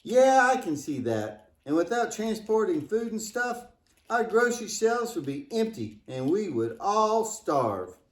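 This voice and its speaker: A man's voice: high voice, very animated, a little slow. A man playing a cheeky, wisecracking, imaginative boy puppet in a scripted church puppet show, joking and exclaiming playfully. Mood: curious, joking. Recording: echoey room.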